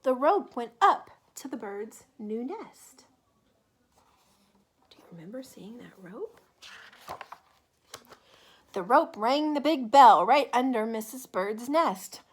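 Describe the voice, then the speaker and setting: A woman reads a children's story aloud. Her voice is high and she takes her time over each phrase. The intonation swings widely, and she speaks directly to young listeners. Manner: animated, deliberate, asks the listener questions. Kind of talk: expressive picture-book read-aloud with asides to young listeners.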